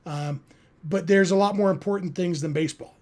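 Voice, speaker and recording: American guy doing casual live sports podcast, pretty high voice, earnest and conversational. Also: brisk, darkish tone. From California, United States. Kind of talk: casual live sports talk, answering listener chat.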